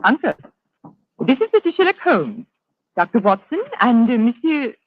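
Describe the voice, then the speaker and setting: Casting: brisk, mockingly polite young lady, slightly husky, vintage radio play, dull dry recording. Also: fairly high pitch, big pitch swings, no echo. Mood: cool. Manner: brisk, poised, coolly polite. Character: cool, guarded young niece, mockingly polite. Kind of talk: 1940s radio drama acting. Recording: muffled old radio broadcast recording.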